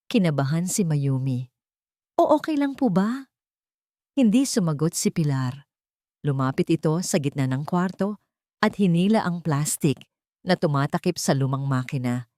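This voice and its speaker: A Filipino woman reads a dramatic story as its narrator. Her voice sits a little low and is very soft-edged and mellow. The sound is dry and close, with no room around it. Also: no room sound.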